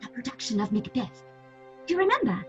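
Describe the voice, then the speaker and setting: An eager, nervous young actress, new and earnest. Her high voice swings expressively through an audio drama, slightly muffled in sound, with light music behind. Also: very animated, fairly quick, a bit bright. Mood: starstruck. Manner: apologetic, earnest. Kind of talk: dramatic audio fiction acting.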